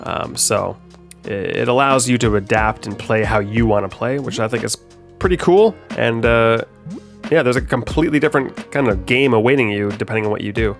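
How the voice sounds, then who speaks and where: A man's voice: medium pitch, a little fast, gravelly. Game dev doing his own play-through commentary; nasal from a cold, easygoing, lots of filler words, mid-range voice, light music bed. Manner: relaxed, explanatory, many ums and uhs. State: stuffed up from a head cold. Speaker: game developer. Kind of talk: gameplay commentary.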